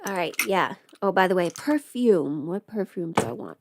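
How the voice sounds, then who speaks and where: A woman's voice: lowish voice, expressive, normal speed, background noise. A woman chatting informally on a livestream, rambling and thinking aloud as she goes. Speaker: livestream host, audio creator. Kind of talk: casual livestream chat.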